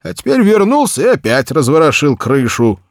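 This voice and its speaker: An old peasant watchman from a children's storybook. The voice jumps high and loud, swinging freely in pitch. He is simple and folksy, always grumbling and exclaiming. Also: very animated, moderate pace, no echo, studio quality. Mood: grumbling, exasperated.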